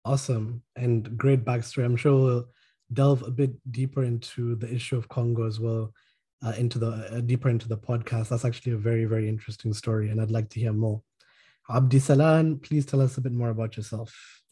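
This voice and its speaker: A man hosting a podcast discussion, introducing guests in a measured, courteous way. His voice is warm and mellow with a slight huskiness. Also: medium pitch, flat delivery, husky. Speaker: podcast host.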